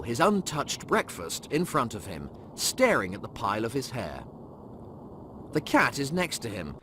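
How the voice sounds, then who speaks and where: A man narrating a scripted comic audiobook, reading scenes and stage directions. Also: medium pitch.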